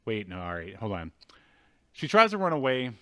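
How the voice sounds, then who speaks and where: A man in his thirties co-hosting a movie review show, recapping a film in a casual, wry, halting way. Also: on the low side, sing-song, pushed and bright. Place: indoors at home, video call.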